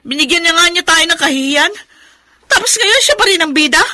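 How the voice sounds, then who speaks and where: A woman with a Filipino accent playing a haughty, resentful elder sister in a radio drama, sharp and scornful. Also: very high-pitched, expressive, a little fast, no room sound, clean studio sound, heavily acted. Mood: indignant, bitter.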